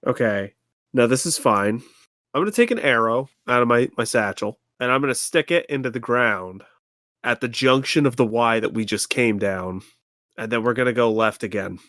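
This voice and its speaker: A man's voice: medium pitch, normal speed, a bit bright, no echo, studio quality. A man chatting casually as a player in a tabletop role-playing game.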